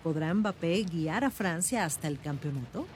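A woman's voice: on the low side, expressive, moderate pace. A woman reading short news and trivia items as scripted narration. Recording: music bed under voice.

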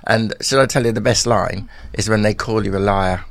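Teasing radio host, dry studio. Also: male voice, lowish voice, fairly even intonation, dry recording. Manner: teasing, jokey, quick back-and-forth. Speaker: radio breakfast show host. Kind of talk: casual radio banter.

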